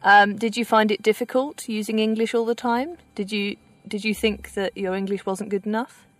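A woman radio presenter interviewing a learner and narrating in a clear, measured way. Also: mid-range voice, fairly even intonation, no echo.

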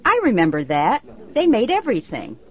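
An actress playing an elderly woman from Germany, a brisk, matter-of-fact nursing home resident. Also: on the high side, huge pitch swings. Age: older. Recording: dull lo-fi recording.

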